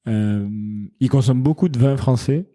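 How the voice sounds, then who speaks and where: Guy with a warm low-key voice, founder chatting on a podcast, earnest, thinks out loud. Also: moderate pace. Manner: earnest, explanatory, thinks aloud mid-sentence. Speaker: founder of a wine company, podcast guest. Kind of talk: casual interview chat.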